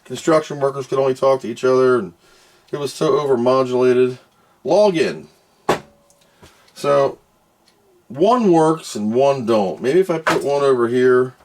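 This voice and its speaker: A man's voice: mid-range voice, unhurried, long pauses, background noise. A man doing casual hands-on product commentary, muttering to himself as he works and reading out screens. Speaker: product reviewer doing an unboxing and test.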